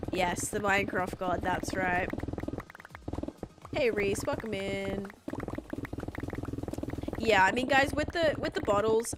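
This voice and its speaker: A woman chatting casually with viewers during a gaming live stream, thinking aloud in an informal way as she plays. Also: mid-range voice. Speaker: live streamer playing a video game. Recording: music behind the voice. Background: background music.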